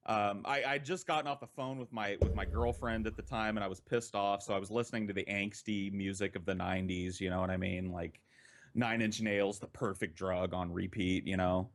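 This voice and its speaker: An American man, a podcast storyteller, recounting an anecdote in a conversational, profane way with dramatic pauses. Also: fairly low pitch, steady tone, background noise. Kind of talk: casual anecdote telling.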